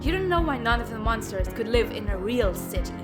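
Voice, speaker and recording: A woman voices a young female villain for an audio drama. She taunts with smug, scornful sarcasm in a fairly high voice. Prominent plucked guitar music runs underneath. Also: lively intonation, a little fast, lightly acted. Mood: mocking. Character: smug, scornful villainous girl with mind powers.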